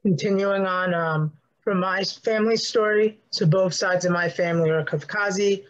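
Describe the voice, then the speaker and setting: A young man with a fairly high voice speaks earnestly over a video call, pausing now and then with small fillers. His audio is slightly muffled and dull. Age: 20s. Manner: earnest, measured with filler pauses. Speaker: student panelist. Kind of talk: online panel discussion.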